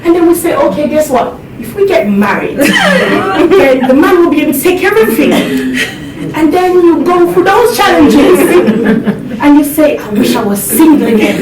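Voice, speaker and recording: A woman's voice: lively intonation, moderate pace, over a noisy crowd. A woman from Jamaica giving an earnest motivational talk to a seminar audience in a room. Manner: earnest, laughs along with the audience. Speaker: motivational speaker. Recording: echoey hall, distant mic, background rumble.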